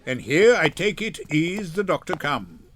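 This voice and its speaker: Older man playing stately, measured duke in court. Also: fairly high pitch, big pitch swings, a bit bright, slight background noise. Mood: formal, gracious. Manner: formal, authoritative, measured. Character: stately male duke presiding over a court. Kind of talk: dramatic Shakespeare reading.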